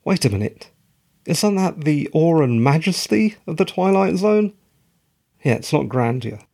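A podcast host with a croaky, gravelly voice that creaks at the bottom. He talks slowly and deliberately, pausing often. He wanders off into rambling, self-mocking asides. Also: on the high side, sing-song, warm tone. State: croaky, tired voice. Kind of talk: solo podcast recap monologue.

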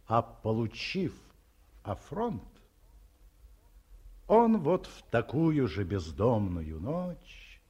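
Middle-aged academic: husky, slow, wry, reminiscing; 1950s radio play. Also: male voice, on the low side, a little mellow, full character voice. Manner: reminiscing, ironic storytelling. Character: aging male academic, wistful and wry. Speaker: radio drama actor.

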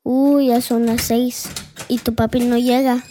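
Man playing a worried mother figure, high voice, soft mellow tone, dry close sound, nagging drawl. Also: flat delivery, measured pace, slight background noise. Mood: fretful. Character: fussy mother, adult woman, impatient.

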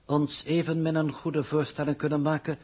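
A man playing a calm, pedantic scientific coordinator who lectures formally and condescendingly in a 1960s radio drama. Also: a little high, moderate pace, breathy edge. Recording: muffled-edged vintage 1960s radio recording.

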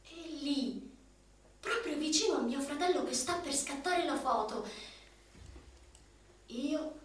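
A young actress plays a jobless, overqualified young woman in a radio drama monologue, moving from brisk reciting to hushed, ironic storytelling. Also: high-pitched. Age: young adult. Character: young jobless overqualified female graduate, wry dreamer. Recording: roomy echo, distant mic, music underneath.

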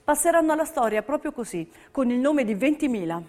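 A woman's voice: high voice, expressive, brisk, darkish tone. A woman narrator. She reads a scripted stage text in a declamatory, emphatic way, as in documentary theatre.

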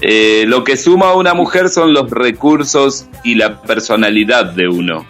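A man's voice: mid-range voice, expressive, moderate pace, fairly bright tone, faint music behind. Man lecturing in a mock-authoritative tone. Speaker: radio show co-host giving dating tips. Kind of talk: comedic radio banter.

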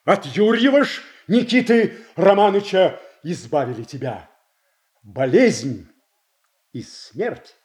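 An actor playing a proud, indignant prince in a classical radio tragedy, declaiming with blunt, weighty deliberation. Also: male voice, sits high, darkish tone. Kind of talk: classical Russian stage tragedy acting for radio.

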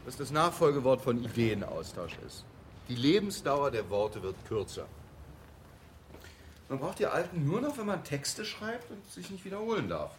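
Actor as slick ad guy pitching ideas in a radio play, lively swings in pitch, bit of noise behind. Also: moderate pace, close to an everyday voice. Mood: detached, musing. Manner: explanatory, matter-of-fact, asks rhetorical questions. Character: glib, self-assured advertising creative man pitching product ideas. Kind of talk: German radio play, conversational monologue acting.

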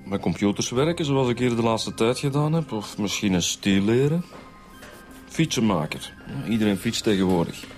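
A man's voice: fairly low pitch, lively intonation, moderate pace, darkish tone, soft background music, close to an everyday voice. A man playing an easygoing, slightly grumbling police inspector in a radio drama, with a Flemish accent. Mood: casual.

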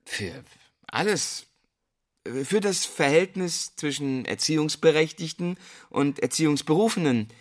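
An actor playing an evasive, overwhelmed male schoolteacher in a radio drama, with a halting, guarded and formal manner. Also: a little high, animated. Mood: uneasy, evasive. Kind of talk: stage-like radio drama dialogue.

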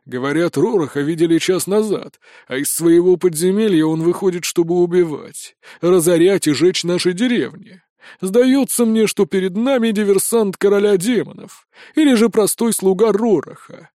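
A man's voice: sits high, normal speed, dark tone, no room sound, clean studio sound, close to an everyday voice. A man voicing a suspicious, spiteful village elder who accuses and rouses a mob in a fantasy audiobook. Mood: accusing. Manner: accusing, rabble-rousing.

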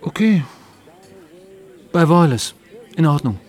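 An actor playing a withdrawn writer on the phone, terse and hesitant, in radio drama dialogue. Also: male voice, a little high, big pitch swings, slow pace. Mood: resigned.

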